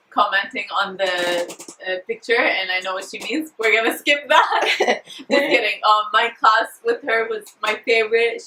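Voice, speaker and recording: A woman's voice: fairly high pitch, bright, forceful tone, reverberant, recorded from a distance, slight background noise, low-quality recording. A woman in her twenties, a beauty vlogger and makeup artist, chatting casually and jokingly while answering viewer questions at home. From Afghan and Syrian family. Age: 20s. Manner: giggly, jokey, chatty with many fillers. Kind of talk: casual Q&A chat to camera.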